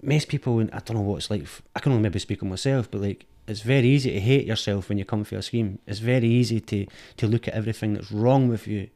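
A man's voice: fairly low pitch, quick pace, few pauses, dark tone. A middle-aged man from Scotland with an Edinburgh accent, talking frankly and thoughtfully as an interview guest, often repeating words as he searches. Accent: Edinburgh Scottish. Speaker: guest, film director. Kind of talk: candid reflective conversation. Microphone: dynamic studio mic.

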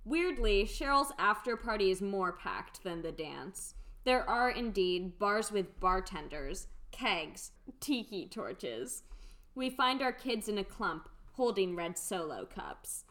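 A woman's voice: medium pitch, big pitch swings, slow, fairly bright tone. A woman narrating the scripted stage directions of an amateur radio play.

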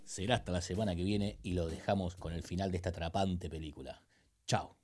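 An actor with an Argentine Rioplatense accent playing an irreverent slacker who bluffs as a film expert, full of chummy, rambling slang in a comedic radio show. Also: male voice, deep voice, fairly even intonation, fairly quick, a little mellow. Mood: bluffing. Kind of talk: comedic radio theatre banter.

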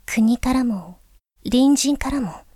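Woman doing polite gentle princess, high soft voice, formal and earnest, dry studio-like sound, voice drama monologue. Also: slow, slightly rough, no echo. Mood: uneasy, troubled.

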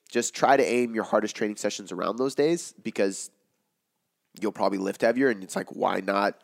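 A man with a raspy, worn-out voice, hosting a casual podcast Q&A as a fitness coach and explaining in a loose way, with lots of "like" and "um". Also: on the low side. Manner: loose, filler-heavy explaining with frequent "like" and "um". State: hoarse from talking all day.

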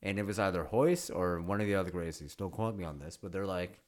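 A young man talks in a loose, profane way, his pitch jumping all over as he chats. He keeps slipping into other people's voices to tell a story. It sounds like an easygoing podcast conversation. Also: fast. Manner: animated, swearing, acts out quoted people. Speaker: podcast co-host. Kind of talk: casual chat.

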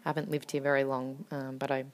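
A woman poet speaking as a podcast guest, hesitant and full of ums, her words often trailing off softly. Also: a bass voice, level tone, fast. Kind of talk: casual interview talk.

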